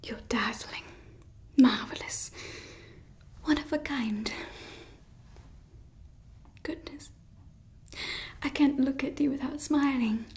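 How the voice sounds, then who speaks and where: A woman's voice: high-pitched, slow pace, long pauses, darkish tone. A woman voicing a doting, sinister female evil scientist in an ASMR roleplay, mixing fawning praise with offhand menacing asides. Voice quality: whispered. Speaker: ASMR performer.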